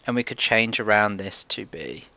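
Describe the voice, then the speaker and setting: Male tutorial presenter, informal and very lively in pitch, thinking aloud, faint noise and keyboard clicks. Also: normal speed. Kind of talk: casual screencast coding tutorial.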